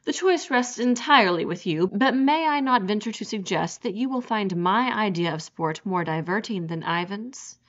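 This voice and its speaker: A woman's voice: medium pitch, lively intonation, normal speed, few pauses, close to an everyday voice. A woman voicing a suave, menacing aristocratic general with a genteel, relishing manner, on a dull lo-fi recording. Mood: courtly, menacing.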